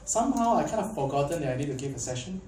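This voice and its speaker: A man's voice: on the high side, very animated, racing, warm tone. A young man from Malaysia giving a casual conference talk, chatty and full of fillers, often asking the audience questions. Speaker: tech conference speaker. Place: conference hall. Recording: echoey hall, mic at a distance, faint background noise.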